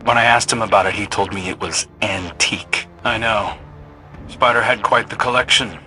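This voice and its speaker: A man's voice: lowish voice, normal speed, bright, forceful tone, poor audio. A man playing a brooding, restless young scout pilot in a video game, understated and sincere. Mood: dry. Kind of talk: scripted video game acting. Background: music.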